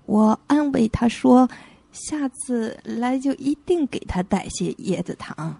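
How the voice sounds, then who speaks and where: An actress playing a grieving woman who lost her twin, with halting, anguished delivery in an audio suspense drama. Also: fairly high pitch, lively intonation, creaky, mellow, husky, exaggerated voice. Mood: sorrowful, uneasy.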